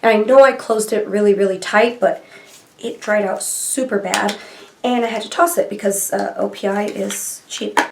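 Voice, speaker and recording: A woman's voice: medium pitch, fairly even intonation, normal speed, creaky, a little hiss or hum. Stuffy-nosed woman gushing over nail polish. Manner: chatty and rambling, gushing over colors. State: stuffy nose from allergies. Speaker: beauty vlogger. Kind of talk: casual product review chat. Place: small bedroom filming nook, indoors.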